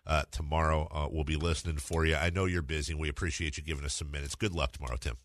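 A man's voice: extremely low pitch, fairly even intonation, racing, no echo, studio quality. A man hosting a sports radio show, putting conversational questions to a guest.

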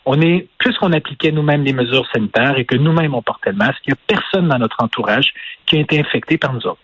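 A man speaking Quebec French as an interview guest and former political adviser, talking in a measured, hedging way with many restarts. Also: medium pitch, a bit bright, husky, no room sound, poor audio. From Portugal. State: recovering from illness. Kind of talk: phone-in news interview.

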